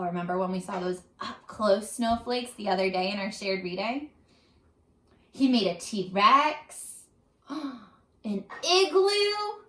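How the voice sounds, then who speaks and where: A woman reading a children's story aloud in an expressive, teacherly manner. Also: on the high side, roomy sound. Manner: expressive, asks the young listeners questions.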